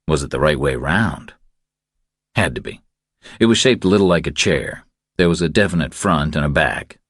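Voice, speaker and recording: A man's voice: extremely low pitch, dry recording, studio quality. A man reading a thriller audiobook as a scripted narration.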